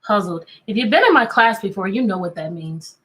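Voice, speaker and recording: A woman teacher reading a picture book aloud to children, animated and coaxing, often repeating questions to draw out answers. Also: medium pitch, fairly quick. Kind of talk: interactive children's read-aloud.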